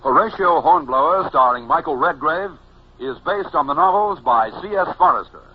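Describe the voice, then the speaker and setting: A man announcing the credits of a 1940s radio drama in a measured, declamatory delivery. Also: a little high, animated, slight background noise. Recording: muffled old radio transcription.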